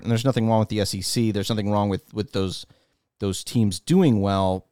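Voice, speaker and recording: A man's voice: on the low side, clear, resonant tone, no room sound, clean studio sound. A middle-aged man co-hosting a podcast, chatting casually and emphatically about sports and culture. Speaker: podcast co-host.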